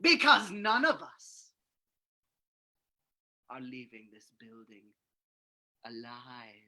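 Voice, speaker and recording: A woman's voice: extremely low pitch, sing-song, sharp tone, exaggerated voice. A woman playing a vengeful, murderous male villain in a theatrical, menacing old-time radio drama reading. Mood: bitter, vengeful. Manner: theatrical, menacing, drawn-out delivery.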